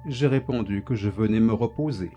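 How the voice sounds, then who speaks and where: A man narrating a dark first-person story in a flat, measured, placid way. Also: lowish voice, faint music behind.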